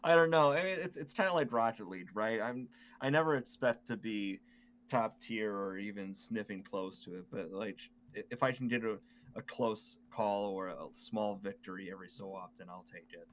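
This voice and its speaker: A man with a slightly low voice chats as a gaming podcast co-host. His delivery is casual, and the recording sounds a little dull and muffled. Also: moderate pace, a bit bright, slight background noise.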